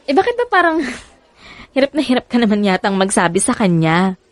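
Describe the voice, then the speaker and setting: A woman's voice: mid-range voice, very animated, fairly quick, no echo, lightly acted. A woman playing an insecure young mother in a radio drama, questioning her lover in a pleading way. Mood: pressing, doubtful. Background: background music.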